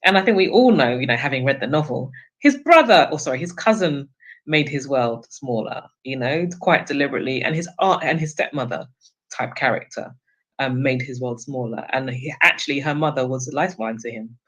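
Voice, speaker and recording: A woman talks at a very quick pace in a book club discussion. She is articulate and emphatic, building her argument point by point and often tagging it with a short question. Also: extremely low pitch, expressive, a bit bright. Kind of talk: discussion, literary commentary.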